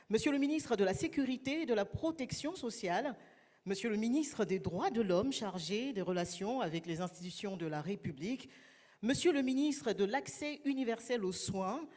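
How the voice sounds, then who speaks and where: A woman serving as master of ceremonies, opening a formal event with measured protocol greetings. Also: fairly high pitch, darkish tone. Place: large conference hall. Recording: long hall reverb.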